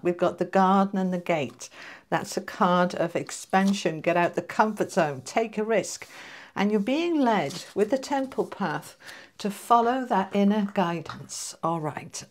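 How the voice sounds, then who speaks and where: Congested chatty woman reading tarot. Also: mid-range voice. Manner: chatty, reassuring, addresses viewers as guys. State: congested from allergies. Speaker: tarot reader. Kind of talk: casual tarot reading to camera.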